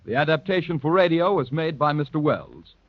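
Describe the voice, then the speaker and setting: A man's voice: fairly high pitch, lively intonation, brisk, dry recording. An American man announcing formally and with careful enunciation as a network announcer on an old 1930s radio recording. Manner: formal, crisply enunciated proclamation. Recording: dull, dry vintage 1930s radio recording.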